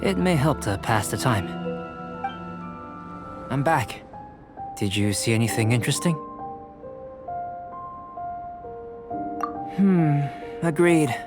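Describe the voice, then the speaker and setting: An actor playing a calm, analytical young alchemist in an anime-style video game, measured, precise and detached, as if giving a lecture. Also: male voice, mid-range voice, over music, lightly acted. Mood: calm, curious.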